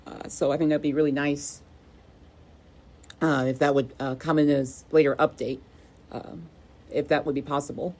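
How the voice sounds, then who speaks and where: A woman's voice: sits low, little pitch movement, normal speed, long pauses, a little mellow. A woman hosting a casual product review in a conversational way, often repeating phrases for emphasis. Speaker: video host and product reviewer.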